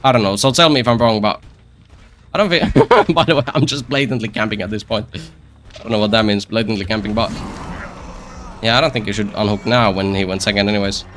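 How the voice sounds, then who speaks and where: Bright-voiced man rambling over a game. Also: fairly low pitch, a little fast. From Finland. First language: Finnish. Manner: rambling, self-deprecating, joking asides, repeats phrases. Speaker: game streamer. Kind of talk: casual gameplay commentary.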